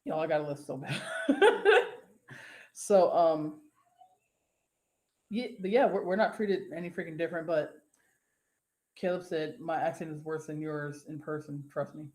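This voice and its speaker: A woman's voice: deep voice, unhurried, pauses often, darkish tone. Rambling, cussing woman host in an echoey room. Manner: rambling, profane, laughs easily. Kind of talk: casual live-show chat, reading viewer comments.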